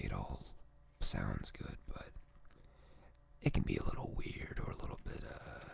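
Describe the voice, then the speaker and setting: A young man whispers softly and slowly, his low voice carrying a gravelly edge. He drifts up and down in pitch as he reads, hesitating often with little fillers, like a calm ASMR poetry session. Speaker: ASMR channel host.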